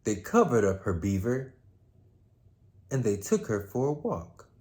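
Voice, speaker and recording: A man hosting a story time, with a laid-back, slangy manner, moving between casual chat and expressive read-aloud. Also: lowish voice, measured pace. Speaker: host reading a story aloud.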